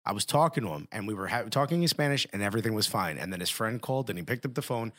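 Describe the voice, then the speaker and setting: A man's voice: on the low side, expressive, rapid-fire, fairly bright tone. A man hosting a casual podcast, joking, laughing often and telling anecdotes.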